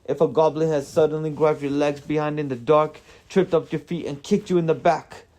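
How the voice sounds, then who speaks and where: Man putting on a blustering, higher-pitched grumbling dwarf. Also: fairly even intonation, brisk, darkish tone, lightly acted. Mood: defensive, indignant. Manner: blustering, complaining. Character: grumbling male dwarf, indignant and defensive.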